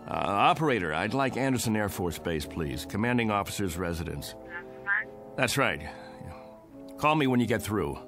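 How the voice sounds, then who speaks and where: An actor playing a bewildered, rattled Air Force colonel in a radio drama. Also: male voice, fairly low pitch, sing-song, normal speed, music in the background. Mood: shaken. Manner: bewildered, pleading, flares into anger.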